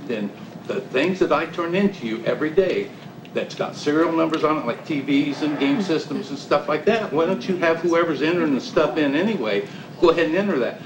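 Man arguing at a city hearing. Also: on the high side. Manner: emphatic, repetitive complaining. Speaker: business owner speaking at public hearing. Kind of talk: public comment at city meeting. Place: small council chamber, standing before audience. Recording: echoey room with background noise.

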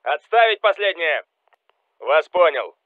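An actor playing a Russian special forces soldier calling out commanding military game lines over a radio. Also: male voice, a little high, very animated, measured pace, no echo, phone-quality sound. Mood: calm, businesslike. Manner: urgent, commanding.

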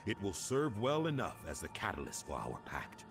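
Deep, gravelly ghostly warrior chieftain. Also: male voice, wildly swooping pitch, moderate pace. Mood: solemn, proud. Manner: solemn, stately oratory. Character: proud old warrior chieftain's ghost, noble and solemn. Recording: music behind the voice.

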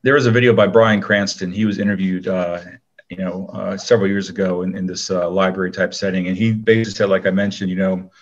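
A man's voice: deep voice, little pitch movement, fast talker, dull audio. A man speaking as an interview guest, giving casual advice in a rambling way full of "you know".

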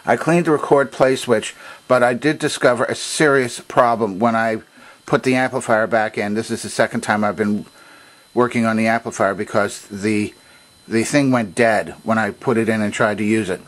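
A man presenting an unscripted hands-on technical demonstration in a halting, methodical, explanatory way. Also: on the low side, fairly even intonation. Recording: noticeable background noise.

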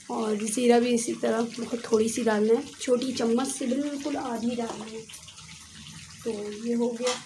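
Woman, home cook explaining steps, fairly high voice, flat intonation, clear kitchen noise. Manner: chatty, explains each step and says "theek hai" often. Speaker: home cooking channel host. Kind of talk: casual cooking demonstration. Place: home kitchen, at a gas stove. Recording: noisy kitchen, echoey and distant.

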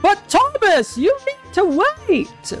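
A man's voice: very high-pitched, sing-song, slow pace, over music, full character voice. A man voicing a fussy, anxious female cartoon railway coach who scolds fretfully.

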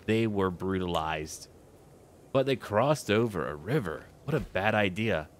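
A man's voice: lowish voice, lively intonation, unhurried, slight background noise. A man giving casual commentary on his strategy game playthrough, talking to himself and to the characters in short clipped remarks. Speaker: gaming video creator narrating his own playthrough.

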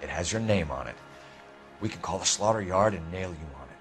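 Stern, animated male detective. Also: low voice, fairly quick, soft background music. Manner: firm, accusatory, commanding. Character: stern, determined male police detective pressing suspects. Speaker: police detective. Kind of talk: scripted video game acting.

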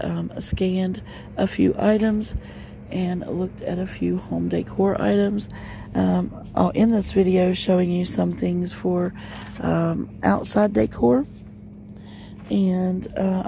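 Chatty woman with a gravelly, mellow voice. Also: medium pitch, slight background noise. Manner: chatty, many "um" and "uh". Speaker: shopping vlogger. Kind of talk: casual store walk-through. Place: inside a large discount store. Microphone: handheld phone camera.

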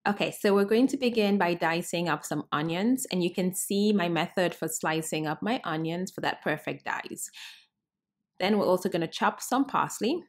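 A woman in her thirties from Cameroon, hosting a cooking tutorial in an upbeat, chatty way and talking straight to her viewers from a bright room at home. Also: lowish voice, moderate pace. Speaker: cooking show host.

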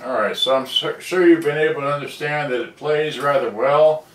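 A man's voice: a little high, normal speed, loud music behind the voice. An American man, middle-aged, giving a casual, unscripted demonstration, rambling to himself as he works at a bench. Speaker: vintage cassette player repairer and seller. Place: cluttered indoor workbench. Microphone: camera mic at a distance. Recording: roomy, distant camera mic, noisy, clicks.

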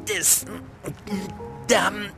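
A man's voice: fairly even intonation, very slow, vocal fry, music in the background, exaggerated voice. Man doing a rude blustering hermit crab. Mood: vain. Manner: cheeky, blustering, swings high and low.

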